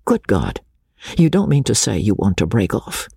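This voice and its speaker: A man's voice: lowish voice, huge pitch swings, moderate pace, vocal fry, darkish tone, breathy edge, no room sound, heavily acted. A man voicing an earnest, loyal army captain who chides plainly, in a storybook reading. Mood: earnest, reproachful. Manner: earnest, plain-spoken, chiding. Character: earnest, loyal male army captain, protective older friend.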